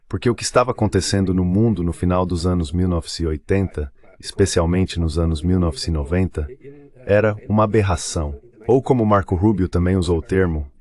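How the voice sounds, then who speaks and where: Very deep-voiced man, Brazilian Portuguese accent. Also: a little mellow. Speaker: dubbed translation voice of an interview guest. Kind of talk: translated political commentary, read voice-over.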